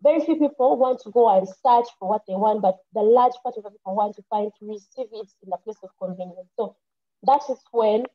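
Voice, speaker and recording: A woman's voice: medium pitch, brisk. A young woman with a Ugandan English accent, an engineer and farmer, telling her story earnestly on an online panel, often restarting her sentences. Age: young adult. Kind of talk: casual personal account on an online panel. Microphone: computer mic on a video call. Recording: muffled lo-fi mic.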